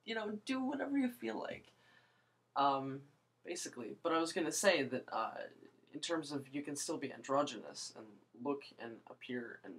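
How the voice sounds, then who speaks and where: A young woman with a low voice, rambling hesitantly through a casual video monologue with many fillers and restarts. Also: lively intonation, normal speed, breathy edge. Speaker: vlogger.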